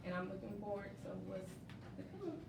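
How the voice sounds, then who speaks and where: A woman's voice: medium pitch, lively intonation, a little fast. A middle-aged woman giving an informal testimonial as a member of a play's cast. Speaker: amateur stage play cast member. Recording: echoey room, distant mic, noise.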